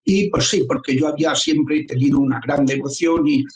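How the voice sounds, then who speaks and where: A man in his seventies, a priest, giving earnest, measured explanations in an interview. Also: on the high side, fairly even intonation, a little mellow. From Spain. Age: 70s. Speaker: priest and guest. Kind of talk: interview answers. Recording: echoey room.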